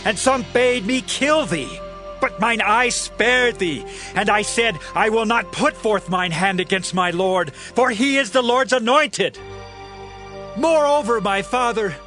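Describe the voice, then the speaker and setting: A man playing an impassioned, loyal warrior pleading with his king in a dramatized scripture recording, in drawn-out declamatory archaic phrases. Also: high voice, pushed and bright, heavily acted.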